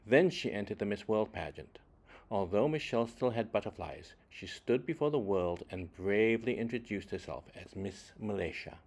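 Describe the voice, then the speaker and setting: Low-voiced man reading a children's story. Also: lively intonation, darkish tone. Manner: dramatic emphasis, deliberate phrasing, varied delivery. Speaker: children's book reader. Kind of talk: expressive read-aloud storytelling for children.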